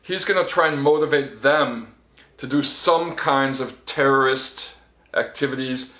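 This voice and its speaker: A man's voice: mid-range voice, fairly bright tone. A middle-aged man, a journalist giving a casual spoken report, talking conversationally with many fillers and restarts. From United States. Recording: echoey room.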